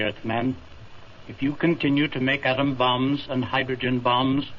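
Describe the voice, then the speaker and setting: An actor playing a stern, solemn alien commander in a 1950s radio drama, speaking gravely and emphatically. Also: male voice, mid-range voice, a little hiss or hum, close to an everyday voice. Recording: muffled 1950s radio recording.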